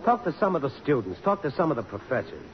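An actor playing a sardonic, needling magazine reporter in a radio drama, dry, blunt and wisecracking. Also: male voice, mid-range voice, very animated, fast talker, slight background noise, natural voice. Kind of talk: 1950s radio drama acting. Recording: dull old radio broadcast, music.

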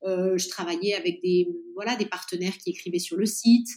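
A woman's voice: on the low side, steady tone, a little fast, a little mellow. A woman in her late thirties from France, a podcast guest talking casually in an interview, with lots of hesitations and restarts. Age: 30s. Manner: hesitant, many fillers and restarts.

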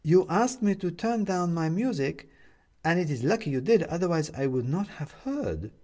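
A man's voice: a little high, animated, normal speed, a little mellow, pitched above the performer's own voice. A man voicing a kindly, anxious middle-aged woman neighbour in a storybook, with a concerned, slightly formal manner.

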